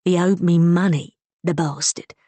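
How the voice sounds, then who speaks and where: A woman doing a mumbling, surly rough husband. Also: fairly low pitch, steady tone, measured pace, dark tone, dry recording. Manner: mumbling, gruff, savage. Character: surly injured rough male drunkard.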